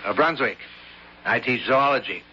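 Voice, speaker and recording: Bright-voiced professor in vintage radio drama. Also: male voice, mid-range voice, expressive, moderate pace. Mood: sociable. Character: sociable, measured zoology professor. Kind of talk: old-time radio drama acting. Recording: muffled, hissy vintage radio recording.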